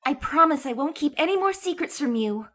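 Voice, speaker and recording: A woman's voice: high-pitched, animated, brisk, fairly bright tone. A woman voicing an upbeat young female lawyer in a game fan dub, eager and sometimes hesitant. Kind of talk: amateur game fandub acting.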